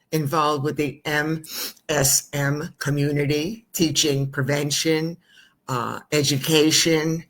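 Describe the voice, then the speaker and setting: A woman hosting a talk show, introducing her guest at length with effusive praise and some hesitant fillers. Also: low voice. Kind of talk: interview introduction.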